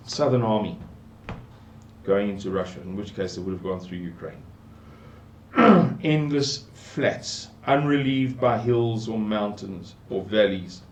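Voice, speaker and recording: An older South African man with a South African English accent, slowly musing through a Bible passage and repeating words for emphasis. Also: mid-range voice, a little mellow. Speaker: Bible teacher. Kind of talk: unhurried scripture commentary. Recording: echoey room.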